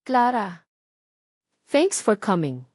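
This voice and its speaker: A woman's voice: medium pitch, big pitch swings, drawn-out words, darkish tone, no echo, studio quality, full character voice. A woman reading as an earnest young groom. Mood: polite. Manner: earnest, emotional vows. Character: young wealthy groom, earnest and deeply moved.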